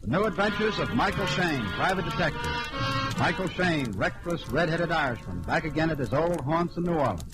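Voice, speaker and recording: A man announces in the dramatic style of 1940s radio. His voice is a little high and declamatory. Loud music plays behind him on an old broadcast recording. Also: expressive, a little fast, rough recording. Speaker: radio show director and announcer.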